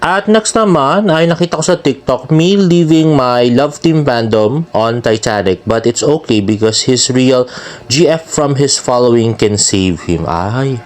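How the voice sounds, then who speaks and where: Male Filipino fan-vlog host, mid-pitched and very lively, chatty gossip delivery with a teasing streak. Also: measured pace, a little hiss or hum. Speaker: celebrity fan vlogger and host. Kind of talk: casual showbiz gossip commentary in Taglish.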